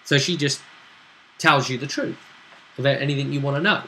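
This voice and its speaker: Bright-voiced man, explaining game. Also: mid-range voice, a little fast, a little hiss or hum, poor audio. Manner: explanatory, checking with tag questions. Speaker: game master and show host. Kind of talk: recapping a tabletop role-playing session conversationally.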